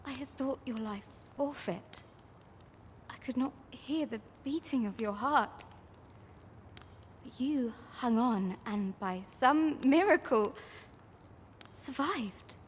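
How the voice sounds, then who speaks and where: A woman playing a gentle, caring young village woman in a fantasy game, with formal, old-fashioned phrasing. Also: sits high, slow, pauses often, a little hiss or hum. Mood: relieved, tender. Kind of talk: archaic fantasy game cutscene acting.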